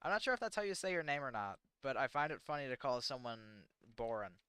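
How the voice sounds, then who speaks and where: A man's voice: mid-range voice, lively intonation, fast, pushed and bright, clear, resonant tone, no room sound, studio quality. A teenage American man chatting casually on a livestream, rambling and self-deprecating with lots of fillers. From United States. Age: teens. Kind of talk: casual livestream chat answering chat questions.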